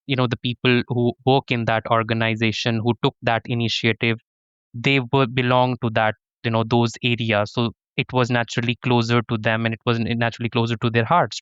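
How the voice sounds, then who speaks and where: Earnest Pakistani-born professor, smooth voice. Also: male voice, medium pitch, fairly bright tone, no echo, muffled recording. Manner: earnest, many restarts and "to be honest". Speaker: professor, interview guest. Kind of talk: conversational interview answers.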